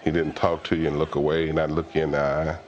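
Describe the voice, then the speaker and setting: Very deep-voiced American man reminiscing. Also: level tone, fast talker, lo-fi sound. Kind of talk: interview reminiscence.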